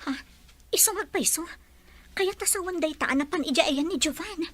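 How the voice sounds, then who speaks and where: A bright-voiced anxious helper. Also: female voice, very high-pitched, brisk. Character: young woman household helper, anxious. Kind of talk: radio drama acting.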